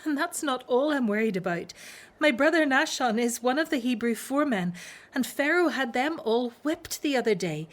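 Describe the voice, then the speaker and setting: An actress playing an anxious Hebrew wife in a religious radio drama. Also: female voice, sits high, expressive, normal speed. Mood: anxious, distressed. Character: anxious Hebrew wife, fearful for her brother.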